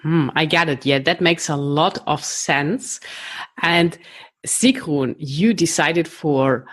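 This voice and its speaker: A woman hosting a podcast interview, asking slow, careful questions. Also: low voice, dry recording. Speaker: podcast host.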